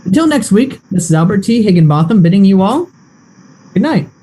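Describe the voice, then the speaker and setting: Albert, a man playing a mellow old-time radio announcer. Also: sing-song, fairly quick, slightly rough, full character voice. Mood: dramatic. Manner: melodramatic, booming announcer. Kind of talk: scripted narration.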